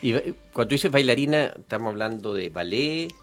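A man hosting a radio program in an even mid-range voice. He speaks in a measured, friendly way, leading the guest with questions. Faint music plays underneath. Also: mid-range voice. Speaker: radio host.

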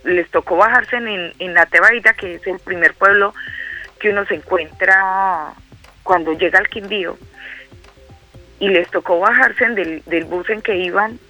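A woman's voice: mid-range voice, unhurried, sharp tone, dull audio, faint music behind. A middle-aged Colombian woman speaking by phone, hesitant, with many fillers and repeated words. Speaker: guest caller. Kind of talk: phone interview.

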